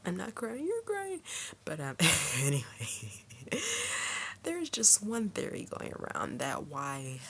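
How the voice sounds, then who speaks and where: Low-voiced woman reviewer, noisy recording. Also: slow pace, gravelly. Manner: excitable fan, acting out imagined character lines. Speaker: movie reviewer. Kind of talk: casual, rambling spoiler movie review. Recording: noticeable background noise.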